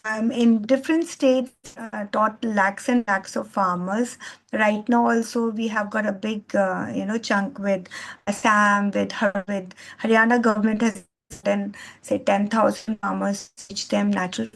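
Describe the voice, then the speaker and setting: A woman's voice: mid-range voice, fairly even intonation, unhurried, creaky, poor audio. A middle-aged Indian woman whose first language is Hindi, giving an earnest, rambling talk with many fillers and restarts as a guest trainer over a video call. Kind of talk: online lecture.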